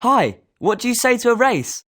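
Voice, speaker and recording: A woman's voice: a little high, big pitch swings, moderate pace, fairly bright tone. A woman with a British accent voicing a confident, sporting schoolboy wizard with a competitive streak in a video game. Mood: confident, challenging. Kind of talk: scripted video game character lines.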